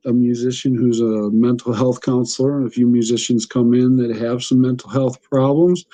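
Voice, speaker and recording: A man's voice: mid-range voice, mellow, low-quality recording. A middle-aged man hosting a podcast, chatty and enthusiastic, laughing often as he talks. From United States. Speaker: podcast host. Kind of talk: casual interview chat.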